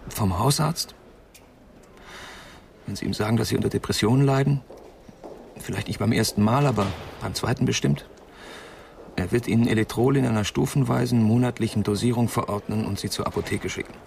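Troubled young medical student, radio crime drama: lowish, halting, confessional, slight background noise. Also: male voice, creaky, darkish tone. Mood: subdued, guilty. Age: young adult. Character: troubled young male medical student, devoted to his flatmate.

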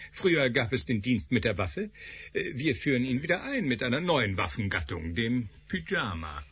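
A sardonic lab scientist in a radio play, voice swinging lively up and down, rough and husky, tossing off dry jokes at his colleagues. the tone stays brusque and glib. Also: medium pitch, normal speed, heavily acted. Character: scientist Davis, glib sardonic male researcher. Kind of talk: radio drama acting.

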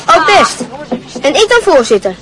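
A woman's voice: high-pitched, big pitch swings, normal speed, a loud crowd. A woman acting a timid, hesitant teenage schoolboy in a radio play, meek and questioning. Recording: clear background noise.

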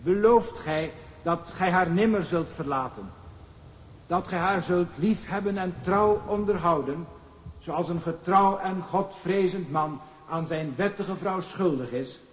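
An actor playing a solemn, formal minister reciting wedding vows in ceremonial style, in a drama. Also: male voice, high voice, unhurried, a little hiss or hum. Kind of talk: ceremonial declamation. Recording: echoey hall with long reverb.

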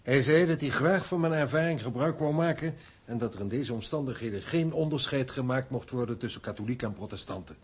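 A man playing an older clergyman, grave, kindly and measured, moralizing with concern in a radio play. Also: medium pitch, animated, slightly rough. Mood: satisfied.

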